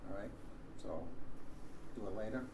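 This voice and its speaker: A man's voice: fairly low pitch, normal speed. A man addressing a library board as the town council's liaison, wry and friendly. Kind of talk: public remarks at a board meeting. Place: town meeting room. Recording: roomy government-access recording with background hiss.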